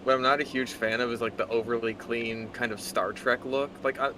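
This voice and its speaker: A man's voice: medium pitch, steady tone, brisk, noisy background. A man giving casual commentary as a co-host, blunt and sardonic, swearing freely. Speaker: co-host reading a story aloud.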